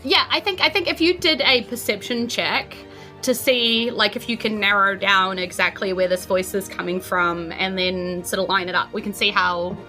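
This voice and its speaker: Bright New Zealand woman running a game. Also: mid-range voice, fairly even intonation, moderate pace, faint music behind. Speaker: game master. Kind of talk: casual narration of a tabletop fantasy game.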